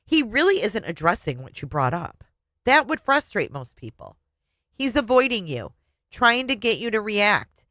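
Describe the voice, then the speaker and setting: A woman's voice: medium pitch, very animated, normal speed, sharp tone, dry recording, pitched above the performer's own voice. A woman voicing a validating therapist in a story, explaining things with firm emphasis. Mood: assured, explaining.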